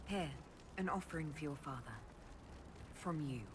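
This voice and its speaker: A composed female leader in a fantasy game, her voice on the low side. She speaks formally and calmly, like a diplomat putting questions to allies. There is clear ambient noise behind her. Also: moderate pace. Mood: gentle, concerned. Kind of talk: video game dialogue acting.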